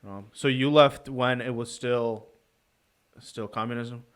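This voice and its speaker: A man co-hosting a podcast, asking questions in a halting way, often searching for words. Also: medium pitch, fairly even intonation, clear, resonant tone. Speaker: co-host. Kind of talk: casual podcast interview.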